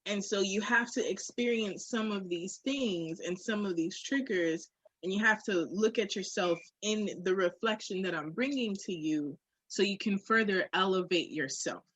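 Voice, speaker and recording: A woman's voice: mid-range voice, normal speed, fairly bright tone, husky, no room sound, poor audio. A woman in a candid, casual conversation, speaking in an emphatic, reflective way with frequent swearing. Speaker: podcast guest or co-host.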